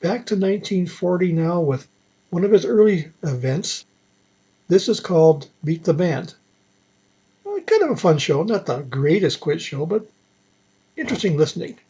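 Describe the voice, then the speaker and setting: A man's voice: high voice, sing-song, normal speed, pauses often, warm tone, husky. A man presenting an old-time radio show in a chatty, conversational way, teasing listeners with clues. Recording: slightly dull lo-fi audio.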